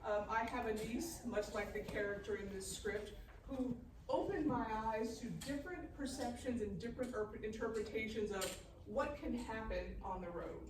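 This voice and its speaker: A woman's voice: fairly high pitch, moderate pace. A woman writer presenting at a live table read. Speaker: scriptwriter and presenter. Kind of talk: introduction and screenplay narration read aloud. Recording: noisy echoey room, distant mic.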